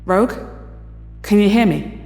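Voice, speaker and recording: A woman's voice: medium pitch, unhurried, gravelly, warm tone. A woman playing a weary, self-doubting telepath in an audio drama, with a reserved, polite and careful delivery. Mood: concerned, polite. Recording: echoey hall reverb, music underneath.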